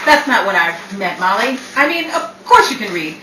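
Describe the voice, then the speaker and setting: A woman acting a brisk, pushy, easily flustered businesswoman realtor in 1940s radio drama style, theatrical and exclamatory. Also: medium pitch, sing-song, lightly acted. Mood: brisk, bossy. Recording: echoey room, distant mic, faint noise.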